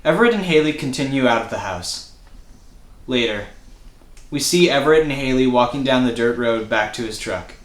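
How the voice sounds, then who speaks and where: Male script narrator, mid-pitched, reading action lines in a roomy space. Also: animated, normal speed. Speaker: stage-direction reader. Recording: echoey room.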